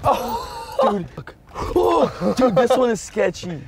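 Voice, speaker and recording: A man's voice: very high-pitched, wildly swooping pitch, takes it slowly, vocal fry, music in the background. A young man bantering nervously with a friend inside a vehicle, laughing as he talks. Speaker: friend on the trip. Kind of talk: casual banter. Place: inside a vehicle at a safari park. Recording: noisy handheld camera audio.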